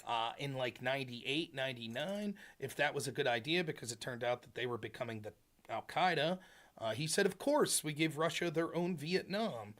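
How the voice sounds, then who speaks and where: A man from rural Pennsylvania hosting a call-in talk show, explaining in a relaxed, rambling way with fillers. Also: medium pitch, normal speed. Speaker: show host.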